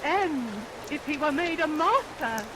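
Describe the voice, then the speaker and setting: Rain noise covers a vintage radio recording. a high-voiced, middle-aged mother, pious and fussy. She suffers loudly and melodramatically, full of self-pity. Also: expressive, a little slow.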